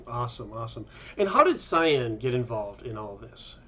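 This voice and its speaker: A man's voice: medium pitch, very animated, moderate pace, bright, forceful tone. A man hosting a casual interview, speaking in an upbeat, informal way. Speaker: interviewer, host. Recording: slightly dull, lo-fi mic.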